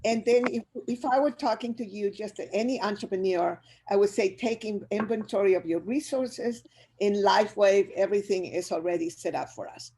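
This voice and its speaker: Energetic older woman with a Chilean accent. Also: medium pitch, moderate pace. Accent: Chilean Spanish. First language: Spanish. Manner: energetic, upbeat, addresses audience directly. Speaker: business speaker. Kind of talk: motivational webinar talk.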